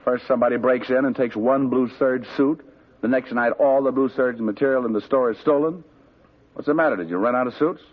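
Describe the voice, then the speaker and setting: An actor playing a wisecracking private detective who narrates in the first person, with dry, sarcastic quips in a 1950s radio drama. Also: male voice, mid-range voice, fairly quick, close to an everyday voice. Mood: wry. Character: wisecracking hard-boiled male private eye. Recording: tinny old radio broadcast with music.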